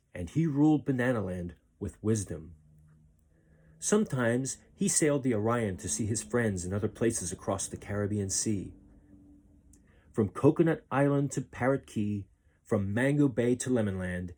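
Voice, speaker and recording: A man reading a children's story aloud in an expressive narrating style. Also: lowish voice. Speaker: storybook reader.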